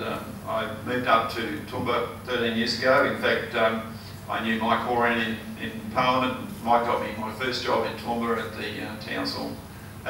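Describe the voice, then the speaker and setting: An older Australian man with a hoarse, failing voice, giving an earnest campaign speech full of ums and uhs. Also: mid-range voice. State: hoarse, losing his voice. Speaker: election candidate. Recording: echoey hall, mic far off, noisy.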